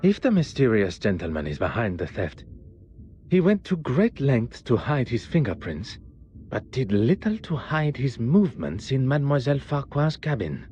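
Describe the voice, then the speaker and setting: An actor playing a self-assured detective, reasoning to himself in formal, deliberate phrases in a mystery game. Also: male voice, medium pitch, big pitch swings, mellow, lo-fi sound, soft background music, lightly acted. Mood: pensive. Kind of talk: inner monologue in a mystery game.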